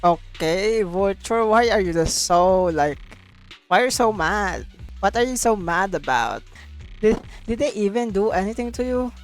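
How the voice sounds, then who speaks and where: An informal woman game streamer with a medium-pitched voice, reading story text aloud and reacting expressively. Her delivery is casual and exclamatory. Faint music plays behind her. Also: expressive, measured pace. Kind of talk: casual let's play, reading dialogue and reacting.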